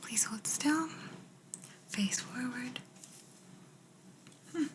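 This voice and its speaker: A woman playing a polite, curious alien examiner in an ASMR roleplay, speaking in a soft, breathy whisper with a gentle, clinical, faintly bemused manner. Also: high voice, drawn-out words, background noise. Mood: curious, attentive.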